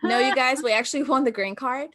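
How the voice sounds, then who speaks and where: A woman's voice: high-pitched, quick pace, fairly bright tone. A woman from Albania, in her thirties, telling stories in a casual podcast interview and acting out quotes from herself and others. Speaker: guest, marketing business founder.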